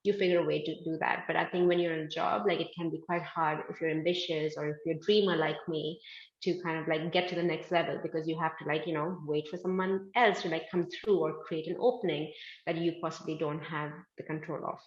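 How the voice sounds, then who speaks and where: A woman's voice: low voice, fairly even intonation, fairly quick, reverberant, highs cut off. A woman from India, a business founder, talking casually in an interview with lots of "like" fillers. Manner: chatty, many "like" fillers, upbeat. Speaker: guest, fashion manufacturing founder.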